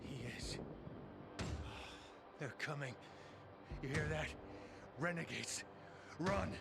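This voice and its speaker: A wounded, urgent ally. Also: male voice, fairly high pitch, lively intonation, takes it slowly, creaky, very noisy, exaggerated voice. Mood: alarmed. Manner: urgent, strained, commanding. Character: wounded urgent male ally, middle-aged. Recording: game cutscene with music behind.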